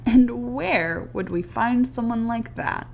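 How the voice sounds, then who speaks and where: A woman's voice: a little high, takes it slowly, creaky, slight background noise. A woman playing a brave, wry young grad student scientist in an audio drama, urgent in manner. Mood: weary, guarded.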